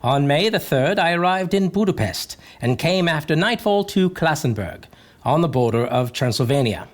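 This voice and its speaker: A man's voice: a little high, expressive, lightly acted. An actor playing an anxious young English lawyer's clerk recounting his journal in a hesitant, foreboding audio drama style. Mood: earnest. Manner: hesitant, foreboding, with halting repetitions. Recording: clear background noise, carriage ambience.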